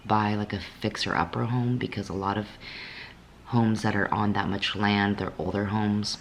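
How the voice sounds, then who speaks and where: A young woman with a raspy voice, just woken up, talking candidly in a casual vlog from her apartment, with many fillers. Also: very deep voice, fairly even intonation, normal speed, a little hiss or hum. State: just woke up. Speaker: fitness vlogger.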